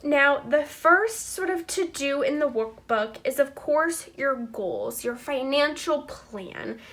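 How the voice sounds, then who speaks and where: A woman's voice: high voice, lively intonation, takes it slowly, a bit bright. A woman in her twenties, stuffy from a cold, hosting a chatty, upbeat budgeting walkthrough for her viewers. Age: 20s. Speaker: channel host.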